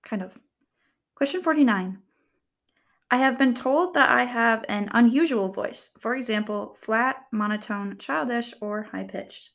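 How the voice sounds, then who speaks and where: A young woman chatting casually as she reads out quiz questions, rambling with lots of fillers and joking at her own expense. Her voice is soft and calm. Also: a little high, measured pace, creaky. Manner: chatty, rambling, filler-heavy, self-deprecating humor. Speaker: vlogger. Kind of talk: casual chat, reading quiz questions aloud.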